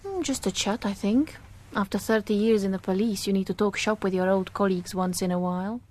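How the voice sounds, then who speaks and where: An actress plays a thoughtful wife in a radio drama. She speaks in a medium-pitched voice, unhurried, with a playful, teasing edge. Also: darkish tone, natural voice. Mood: reflective, wry. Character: calm, teasing wife of a detective, a painter.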